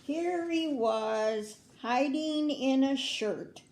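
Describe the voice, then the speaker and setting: An older woman with an American accent reads a picture book aloud to children in a grandmotherly way, pausing to put questions to her young listeners. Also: sits high, darkish tone, slight background noise. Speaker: children's story reader. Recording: roomy, echoing indoor sound.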